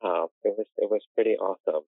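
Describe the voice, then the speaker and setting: A man's voice: on the low side, steady tone, fast talker, dry recording. Chatty man on a tinny dry line. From United States. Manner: chatty storyteller who laughs often. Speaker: interior designer and guest. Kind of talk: conversational interview.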